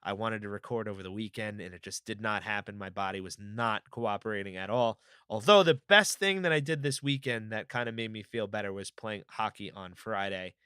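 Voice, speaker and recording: A man hosting his own podcast in a casual, rambling, upbeat way, his voice slightly raspy from a cold. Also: fairly low pitch, brisk, hardly any pauses, pushed and bright, dry recording, clean studio sound. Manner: chatty, rambling, upbeat fan enthusiasm. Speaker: podcast host. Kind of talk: casual solo podcast talk.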